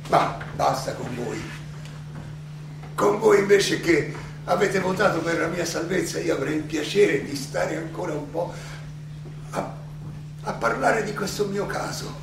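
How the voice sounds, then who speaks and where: Condemned old Greek philosopher: high, creaky voice, halting rhetorical delivery, defiant but serene, distant echoey theatre-monologue recording. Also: male voice, exaggerated voice. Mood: stern reproach, then reflective, confiding. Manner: deliberate, halting, rhetorical questioning. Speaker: stage actor. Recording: echoey, noisy recording from far off.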